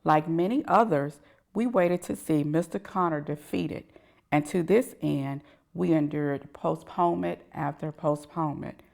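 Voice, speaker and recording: A woman's voice: low-pitched, a little slow, creaky, a little mellow. A woman reading a historic letter aloud as scripted text.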